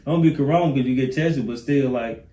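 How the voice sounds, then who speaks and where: A man's voice: mid-range voice, fairly quick, dark tone, roomy sound, highs cut off, a little hiss or hum. A laid-back man co-hosting a casual relationship talk show, often repeating words as he finds his point. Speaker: podcast co-host.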